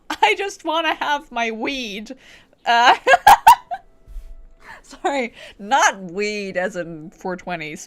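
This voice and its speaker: A woman streamer giving casual, playful and reactive commentary as she plays through a game. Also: mid-range voice.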